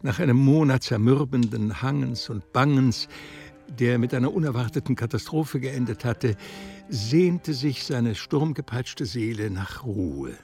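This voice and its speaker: An actor as a calm, omniscient storyteller, reading literary narration deliberately in a German radio drama. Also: male voice, mid-range voice, gravelly, soft background music. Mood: grave, measured.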